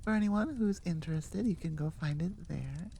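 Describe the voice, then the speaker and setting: A woman's voice: lowish voice, moderate pace, mellow, slight background noise. A woman who is sick, chatting casually to her listeners in a playful, rambling, self-deprecating way as an audio creator. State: sick with a cold or similar illness.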